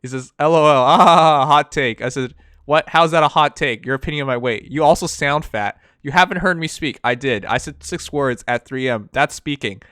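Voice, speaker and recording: A man's voice: fairly high pitch, moderate pace, hardly any pauses, fairly bright tone, smooth, dry recording, clean studio sound. A man from Canada with a slight Chinese accent, who speaks English as a second language, hosting a livestream and reading chat messages aloud in a rambling, blunt, profane way. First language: not English. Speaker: livestream host.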